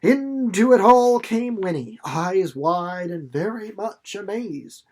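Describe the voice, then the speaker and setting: A narrator reading a children's novel aloud in an expressive, deliberately phrased storytelling way. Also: mid-range voice. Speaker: audiobook reader.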